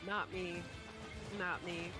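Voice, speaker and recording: A woman's voice: fairly low pitch, level tone, slow pace, loud music behind the voice. A woman co-hosting a reaction show in casual banter.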